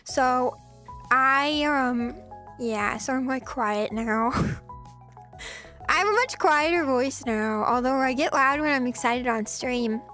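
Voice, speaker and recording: A young woman streamer chatting casually with viewers in a soft, giggly voice, her throat a little scratchy. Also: sits high, slow, faint music behind. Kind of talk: casual chat.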